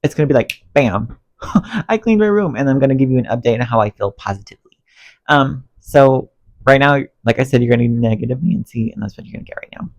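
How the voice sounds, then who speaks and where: A man's voice: mid-range voice, brisk, vocal fry. A man vlogging a rambling, emotional rant to camera while cleaning his bedroom, congested and losing his voice. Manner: rambling, emotional, self-talk, expressive swings. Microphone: phone on stand under ring light.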